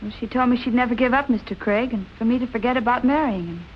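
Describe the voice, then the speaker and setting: An actress playing an earnest, anxious young fiancée in a 1940s film noir. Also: female voice, a little high, fast talker, slight background noise, natural voice. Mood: uneasy. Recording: muffled old film soundtrack.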